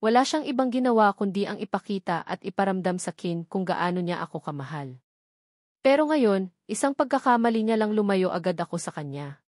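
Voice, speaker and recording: Female audiobook narrator, romance fiction, mid-range pitch, close dry sound. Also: lively intonation, fairly quick, darkish tone, no echo. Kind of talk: scripted first-person narration of a romance novel.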